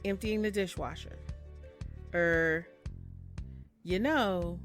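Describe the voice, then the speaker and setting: A woman's voice: lowish voice, slow pace, soft background music. A woman voicing a tired, stern young barista who scolds in a weary tone.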